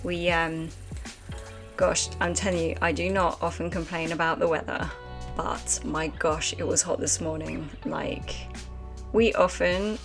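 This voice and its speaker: A woman's voice: fairly low pitch, fairly even intonation, unhurried, soft background music. A woman streaming a game live, chatting casually and rambling with lots of fillers at a desk microphone. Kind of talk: casual let's-play commentary chatting with chat.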